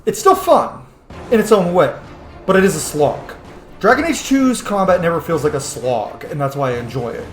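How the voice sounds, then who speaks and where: A man's voice: on the high side, very animated, normal speed. A man giving casual, sweary, emphatic and opinionated commentary as host of a video game playthrough. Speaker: host of a game roleplay series. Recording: roomy sound with faint game music.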